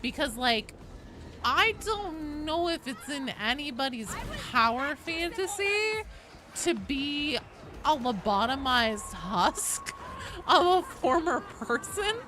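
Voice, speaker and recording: Animated woman streamer, high-pitched voice. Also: slow. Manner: sweary, conversational. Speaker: game streamer. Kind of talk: casual livestream chat over gameplay. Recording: noisy game audio behind the voice.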